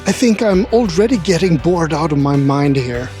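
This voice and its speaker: A man narrates a scripted travel video in a voice that sits a little high. His humor is dry, wry and a touch grumpy. Faint music plays underneath. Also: lively intonation, normal speed, a little mellow. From Sweden. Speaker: travel vlogger.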